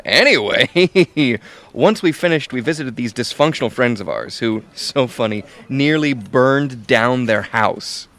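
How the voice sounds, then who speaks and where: A chatty male coworker in a comic radio play. His medium-pitched voice has a gravelly creak, and his delivery is wry and conversational. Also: a bit bright, full character voice. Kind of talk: comic audio drama acting.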